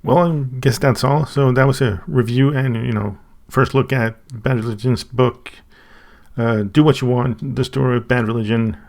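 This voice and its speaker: Swedish guy unboxing stuff, super gravelly voice, lots of stops and restarts. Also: medium pitch, fairly even intonation, darkish tone. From Sweden. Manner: halting, thinking aloud with many restarts. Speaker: channel host. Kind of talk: casual unboxing review.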